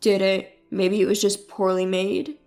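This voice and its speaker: A woman voicing a flustered, evasive and sheepish husband who speaks haltingly in a narrated story. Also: mid-range voice, little pitch movement, a little mellow, soft background music, voice pushed down, close to an everyday voice. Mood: defensive. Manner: halting, stammering.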